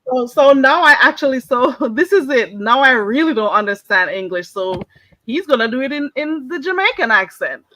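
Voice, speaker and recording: A woman's voice: high voice, lively intonation, normal speed, pushed and bright. A woman from the Caribbean hosting a casual live chat, firm and often cutting in. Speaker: host of a live audio room. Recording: dull, dry lo-fi sound.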